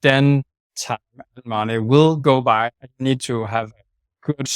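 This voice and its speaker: A man's voice: mid-range voice, takes it slowly, clear, resonant tone, no room sound. Man, Danish accent, interview guest, blunt, self-correcting, dry recording. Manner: direct, self-correcting, plain-spoken. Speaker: guest, sales manager. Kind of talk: casual interview talk.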